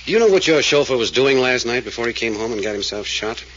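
A man's voice: mid-range voice, animated, quick pace, fairly bright tone, lightly acted. A man playing a blunt, probing private detective in a 1940s radio drama, questioning insistently. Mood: stern. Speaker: hired detective. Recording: dull lo-fi old radio recording with faint hiss.

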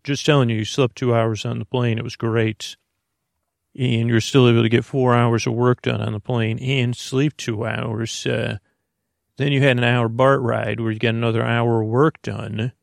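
A man hosting a bedtime podcast in a meandering, halting monologue, reassuring the listener, often repeating himself and trailing off mid-thought. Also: mid-range voice, flat delivery, vocal fry, no room sound, studio quality. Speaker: podcast host. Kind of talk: rambling bedtime monologue to help listeners sleep.

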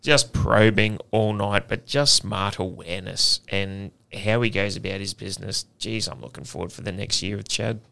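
Gravelly, bright man. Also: on the low side, normal speed, few pauses. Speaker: podcast co-host. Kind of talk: casual sports podcast chat.

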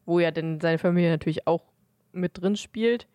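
A woman's voice: on the low side, normal speed, dark tone, no echo. A woman co-hosting a podcast, chatting casually as a fan. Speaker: podcast co-host.

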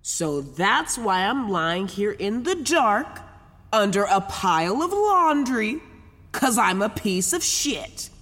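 Volatile young woman, audio drama, foul-mouthed, self-deprecating, animated intonation, reverberant. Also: mid-range voice, takes it slowly, exaggerated voice. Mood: self-loathing. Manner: rambling, profane, argues with herself. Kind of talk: naturalistic audio drama acting.

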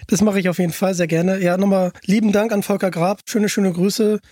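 A man's voice: sits high, little pitch movement, brisk, dark tone, no room sound, clean studio sound. A man hosting a casual fan podcast, chatting in an enthusiastic way. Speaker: podcast host.

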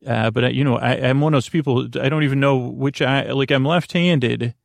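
Creaky, rambling man hosting a sleep podcast. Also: mid-range voice, brisk, no echo, studio quality. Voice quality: creaky, dulcet. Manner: rambling, drifting off topic, many fillers and stumbles. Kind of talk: meandering bedtime podcast intro.

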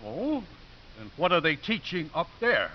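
A gentle old priest with very expressive, rising and falling speech. He is courteous and dryly funny in a 1950s radio play, on a dull recording with faint hiss. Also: on the high side, measured pace. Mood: gently wry.

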